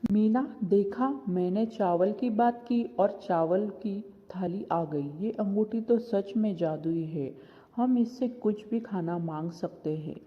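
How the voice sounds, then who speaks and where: A man's voice: high voice, slow. Kind, hardworking village father for a cartoon moral tale male actor, muffled lo-fi sound, big reverb tail. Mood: amazed. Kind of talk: moral cartoon story dialogue. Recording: dull, lo-fi sound with long reverb.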